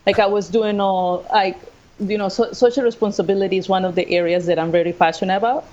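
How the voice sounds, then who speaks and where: A woman's voice: medium pitch, steady tone, fast, darkish tone, lo-fi sound. A woman with a Costa Rican Spanish accent, a native Spanish speaker, telling her own story conversationally as an interview guest. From Costa Rica. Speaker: guest, assistant professor of sports management.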